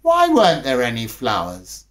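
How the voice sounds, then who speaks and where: A man's voice: medium pitch, wildly swooping pitch, moderate pace, fairly bright tone. A man voicing curious, eager young children in a storybook reading, with wide-eyed questioning. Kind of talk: storybook character voices.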